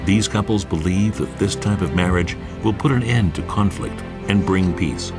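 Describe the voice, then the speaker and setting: A man's voice: deep voice, normal speed, loud music behind the voice. A man reading scripted narration for a documentary.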